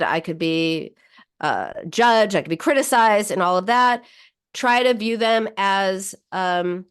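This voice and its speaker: Slightly hoarse woman hosting an advice show. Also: medium pitch. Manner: warm advice-giving with frequent fillers and restarts. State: slightly hoarse, losing her voice. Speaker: psychologist radio show host. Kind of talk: weekly radio talk show, advice monologue.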